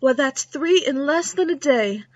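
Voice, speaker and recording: A woman playing a gleefully morbid high-voiced frontier doctor. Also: lively intonation, a little slow, dull audio, a little hiss or hum. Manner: theatrical, relishing, muttering asides. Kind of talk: old-time western radio drama acting.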